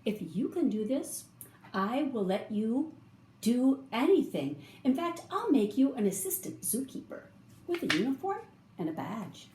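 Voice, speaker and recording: Woman voicing a flustered, high-pitched zookeeper. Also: big pitch swings, a little slow, darkish tone. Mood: pleading. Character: flustered male zookeeper, pleading and bargaining. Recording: roomy echo, faint background noise.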